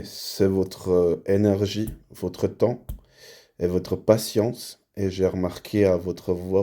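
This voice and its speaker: Sex: man. Origin: Dominican Republic. Role: hospital head interviewing. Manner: slow, measured questions. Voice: very low, gravelly, flat and dark. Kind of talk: in-character job interview.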